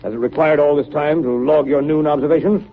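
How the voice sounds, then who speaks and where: An actor playing a stern, authoritative navy captain in a 1930s radio drama, speaking formally and with command. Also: male voice, a little high, steady tone, fairly quick, close to an everyday voice. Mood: angry, commanding. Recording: noisy, tinny 1930s radio broadcast.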